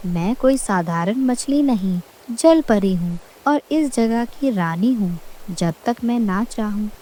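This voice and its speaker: A woman voicing a haughty, vengeful mermaid queen in an animated fairy tale, speaking in an imperious, threatening way. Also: mid-range voice, slow pace, low-quality recording.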